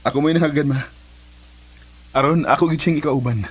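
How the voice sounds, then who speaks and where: A man's voice: on the high side, brisk, husky, dry recording. An actor with a Cebuano Filipino accent playing an earnest, devoted grown son and businessman in a radio drama.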